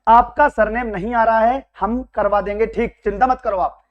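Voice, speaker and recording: A man who speaks with a Madhya Pradesh Hindi accent and has a hoarse, worn throat, giving students an excited, reassuring result update as their coaching teacher. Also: high voice, moderate pace, lo-fi sound. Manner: excited, reassuring, repeats phrases. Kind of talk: casual direct-to-camera update.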